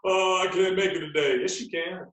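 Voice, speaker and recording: A man's voice: high voice, fairly even intonation, normal speed, a bit bright, distant mic. A man talking casually as a podcast guest and fitness trainer, explaining things emphatically and repeating phrases to drive them home. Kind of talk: casual conversational interview talk. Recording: very echoey, roomy sound.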